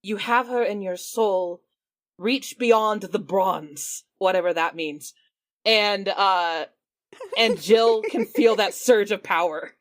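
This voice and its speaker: Relaxed woman player. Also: mid-range voice, slow. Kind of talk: casual play in a tabletop game.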